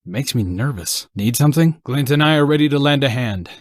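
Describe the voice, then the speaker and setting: An actor as a restless young hunter scout. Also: male voice, mid-range voice, lively intonation, brisk, no echo, studio quality, close to an everyday voice. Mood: casual, attentive. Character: restless young male hunter scout, earnest and slightly awkward. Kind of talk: video game character voice lines.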